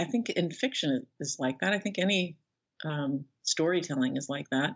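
An older woman speaking as an interview guest, thoughtful and conversational, pausing as she searches for words. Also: sits low. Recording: slightly dull, band-limited audio.

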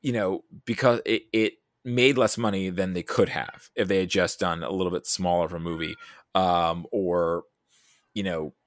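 A man with a fairly low voice chats about films as a podcast guest. He thinks aloud, rambling and stopping and starting as he goes. The sound is very dry, with no room to it. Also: steady tone, moderate pace, no room sound. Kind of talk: casual film discussion.

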